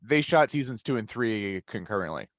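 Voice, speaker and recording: A man's voice: on the low side, animated, moderate pace, dry recording, clean studio sound. A man on a podcast panel, chatting casually in an explanatory way with precise wording. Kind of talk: casual chat.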